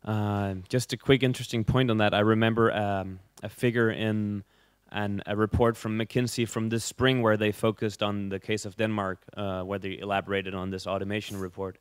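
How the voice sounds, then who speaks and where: A male panel moderator with a low, flat, resonant voice. He talks in a brisk, conversational way, close and dry on the mic. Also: clean tone, no echo. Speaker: session moderator.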